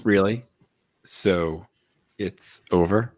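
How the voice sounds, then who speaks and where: A man's voice: low-pitched, drawn-out words, full character voice. A man playing a cocky, laconic male space smuggler in a comedic sci-fi table read. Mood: wary.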